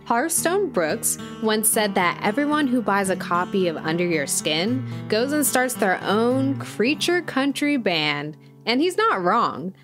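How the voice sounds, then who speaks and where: A woman's voice: mid-range voice, big pitch swings, unhurried, few pauses, a bit bright. A woman playing an earnest music show host, narrating a scripted audio documentary. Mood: measured narration. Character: female music podcast host, earnest storyteller.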